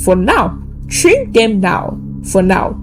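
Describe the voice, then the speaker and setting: Woman acting a commanding house mistress with swooping pitch. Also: wildly swooping pitch, slow pace, music in the background. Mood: firm, protective. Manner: firm, urgent, giving orders. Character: calm, commanding boarding-school house mistress, protective leader.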